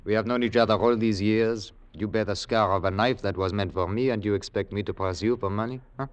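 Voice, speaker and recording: A man's voice: fairly low pitch, steady tone, brisk, close to an everyday voice. An actor playing a genial, loyal older hotel proprietor with a fatherly, indulgent manner in a 1940s radio drama. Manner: fatherly, indulgent, with foreign phrases. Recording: noisy vintage radio recording, clicks.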